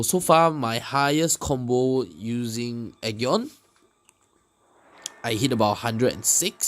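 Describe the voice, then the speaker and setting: Male game commentator, unhurried and explanatory, mid-pitched, casual with halting phrasing. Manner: hesitant, explanatory, pausing mid-sentence. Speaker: gaming video creator. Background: music.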